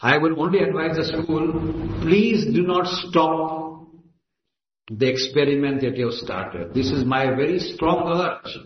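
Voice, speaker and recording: A man's voice: a little high, measured pace, a little hiss or hum. Emphatic Indian man giving a speech. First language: Gujarati. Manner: emphatic, repetitive, declamatory. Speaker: speaker at a meeting. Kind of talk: impassioned speech. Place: assembly hall. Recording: echoey lo-fi hall recording.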